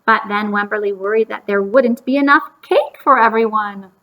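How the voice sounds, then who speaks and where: A woman reading a story aloud to young children, pausing to explain and ask them simple questions. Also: mid-range voice, lo-fi sound.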